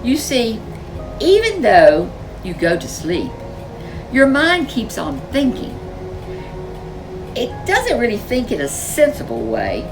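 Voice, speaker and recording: A woman voicing a gentle, reassuring mother bear in a storybook, soothing and explaining patiently. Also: a little high, wildly swooping pitch, slow pace, long pauses, distant mic, music in the background, pitched above the performer's own voice, exaggerated voice, poor audio.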